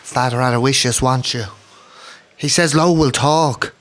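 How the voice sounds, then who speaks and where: An actor with an Irish accent playing a stoical, realistic rebel lookout in an audio play, terse and matter-of-fact. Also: male voice, mid-range voice, measured pace, a bit bright, close to an everyday voice. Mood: grim realism. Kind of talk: historical audio drama acting. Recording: faint background noise.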